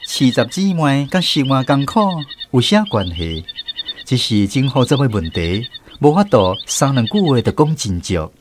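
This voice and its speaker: Lively Taiwanese male radio storyteller. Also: medium pitch, moderate pace, background noise. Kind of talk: scripted storytelling narration for radio.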